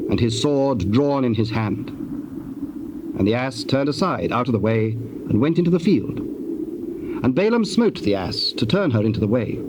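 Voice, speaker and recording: Male narrator for Bible drama: solemn, scripted, middle-pitched, noisy cassette sound. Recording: noisy old cassette tape.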